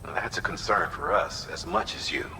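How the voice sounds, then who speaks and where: An actor voicing a grave, commanding operations officer in a video game, giving measured, serious instructions. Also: male voice, low-pitched, noisy background, natural voice. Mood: businesslike briefing. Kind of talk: dramatic video game mission briefing.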